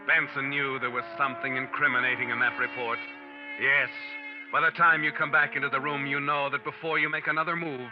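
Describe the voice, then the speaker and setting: A sinister, all-knowing narrator, played by a man speaks in a slightly raised voice and taunts his listener in the second person. It is a dull, muffled old radio recording with loud music beneath him. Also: on the high side, natural voice. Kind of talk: 1940s radio drama narration.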